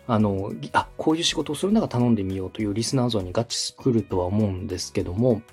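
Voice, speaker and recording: A man's voice: medium pitch, darkish tone, breathy edge. A man from Osaka giving polite, methodical advice as a podcast host and radio director. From Osaka, Japan. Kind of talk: explanatory consulting talk.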